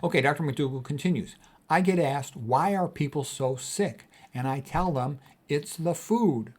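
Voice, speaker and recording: A man's voice: fairly high pitch, moderate pace, a little mellow. A man of sixty, a physician, reading quotes aloud and commenting on them in an emphatic, casual way, often repeating his key points. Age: 60s. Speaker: physician host.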